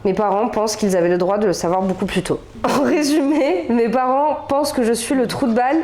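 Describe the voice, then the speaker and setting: A woman's voice: on the high side, brisk, darkish tone. Young woman, casual co-host; reads a story aloud, loose and chatty. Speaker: podcast co-host reading stories. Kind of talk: casual chat and reading aloud.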